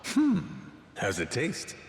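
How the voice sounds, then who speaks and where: A man voicing a brash, big-hearted pirate captain in a video game, blunt, casual and expressive. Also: fairly high pitch, slow, heavily acted. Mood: delighted. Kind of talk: scripted video game cutscene acting. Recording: echoey cave-like hall with faint music.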